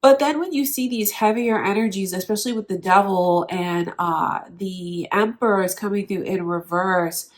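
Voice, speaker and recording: A woman's voice: medium pitch, moderate pace, few pauses. A woman with a nasal, stuffed-up voice giving a tarot reading, speaking directly and earnestly to the viewer. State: nasal from allergies. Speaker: tarot reader.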